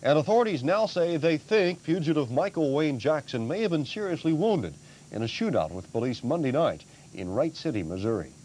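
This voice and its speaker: A man's voice: on the high side, big pitch swings, fairly quick, darkish tone. An American man reading scripted television news as an anchor, on a 1980s broadcast. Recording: 1980s TV broadcast, faint noise.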